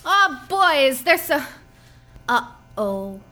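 A woman's voice: high-pitched, big pitch swings, extremely slow, pushed and bright, exaggerated voice. A woman voicing a bratty, scheming young girl who bickers and giggles in a comedic audio drama. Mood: worried.

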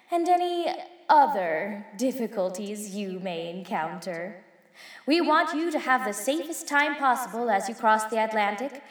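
A reverberant, echo-laden promotional recording of a female tourism announcer. The voice is high and polished, relentlessly cheerful, selling every attraction as scripted. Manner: polished, salesy, relentlessly upbeat. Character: chirpy female welcome recording promoting bridge travel. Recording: echoey hall with long reverb.

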